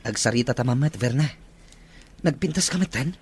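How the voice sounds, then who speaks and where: A man with an Ilocano Filipino accent playing an earnest, excitable young son in an audio drama. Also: medium pitch, fairly quick, slightly rough, soft background music. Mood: regretful, earnest. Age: young adult. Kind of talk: radio-drama dialogue.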